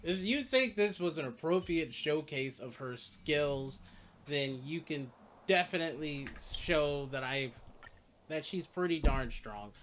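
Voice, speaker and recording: A man's voice: a little high, a bit bright, slight background noise. A man hosting a gaming video, giving casual gameplay commentary in an informal, rambling way and correcting himself as he goes. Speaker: gaming channel host.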